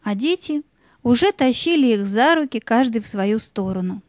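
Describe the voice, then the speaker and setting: Mellow, high-voiced woman storyteller. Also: animated, moderate pace, no echo, studio quality. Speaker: narrator. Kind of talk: scripted fairy-tale narration.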